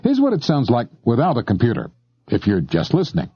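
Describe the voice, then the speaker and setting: A man's voice: medium pitch, huge pitch swings, fairly quick, very noisy. A man reading a scripted instructional narration, explaining things and addressing the listener directly. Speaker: narrator of an instructional shortwave radio tape. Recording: dull lo-fi cassette tape, dry.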